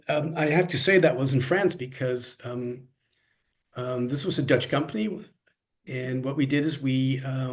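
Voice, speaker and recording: A man who grew up in Canada, speaking as a guest in a casual interview, thoughtful and often restarting his sentences. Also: medium pitch. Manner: thoughtful, many restarts and repeated words. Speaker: interview guest, cross-cultural coach. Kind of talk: conversational interview.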